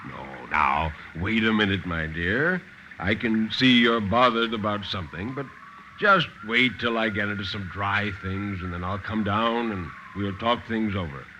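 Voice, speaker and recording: A man's voice: on the low side, measured pace, pushed and bright, slight background noise. A man voicing a tired, cheerfully dismissive husband with a soothing, patronizing manner, in 1950s radio style. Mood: weary, placating. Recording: dull, lo-fi 1950s radio recording.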